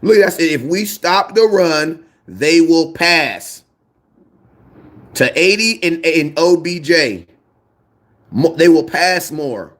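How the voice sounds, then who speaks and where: A young man hosts a casual fan show in an easygoing, excitable way. His voice sits fairly high for a man. He talks in slang and repeats phrases when he gets worked up. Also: slow. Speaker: sports fan show host. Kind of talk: casual live-stream chat.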